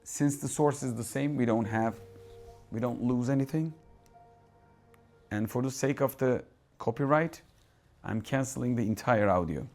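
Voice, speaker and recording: A man's voice: mid-range voice, a little slow, long pauses, a little mellow, faint music behind. Turkish-accented man demoing gear live. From Turkey. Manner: thinking aloud, restarts and asides while adjusting settings. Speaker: tech reviewer. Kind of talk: casual live product demo. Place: dark home cinema room.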